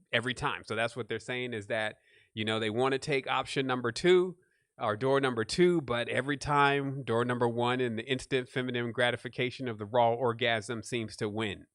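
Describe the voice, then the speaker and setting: A man hosts a spiritual question-and-answer panel in an informal, explanatory manner. His mid-pitched voice is recorded close and dry, with no room sound. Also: fairly bright tone, smooth, no room sound. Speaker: panel host.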